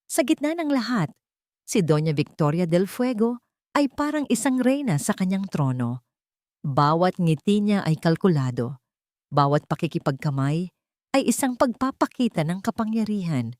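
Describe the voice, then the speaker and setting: A woman's voice: on the low side, big pitch swings, normal speed, darkish tone, no room sound, clean studio sound. A woman reading a scripted, dramatic audio story as its narrator.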